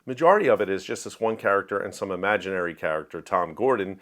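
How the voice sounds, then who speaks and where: A man with a slightly low voice chats through the books he has read, keen and explanatory. He speaks in an easy, conversational flow, like a writer sharing favourites with his viewers. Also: fast, fairly bright tone. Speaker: book reviewer and writer. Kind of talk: casual monthly reading wrap-up.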